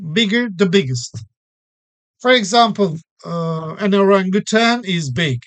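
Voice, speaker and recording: A man's voice: sits high, a bit bright. A man from Turkey, teaching an English grammar lesson and explaining each point patiently with everyday examples. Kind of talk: recorded classroom-style English lesson.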